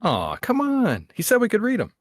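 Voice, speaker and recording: A man's voice: sits high, huge pitch swings, moderate pace, no room sound, clean studio sound. A man playing an impatient, sarcastic secret agent, blunt and exasperated, in a comedy radio play read.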